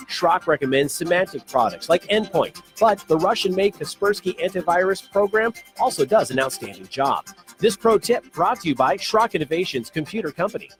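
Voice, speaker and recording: A man reading scripted radio commercial narration as an announcer. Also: fairly high pitch. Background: music bed.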